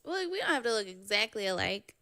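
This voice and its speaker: A woman's voice: mid-range voice, big pitch swings, fast talker, pushed and bright, no room sound, clean studio sound. A young woman guest on a casual podcast, blunt and sassy, swearing freely as she chats. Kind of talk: casual chat.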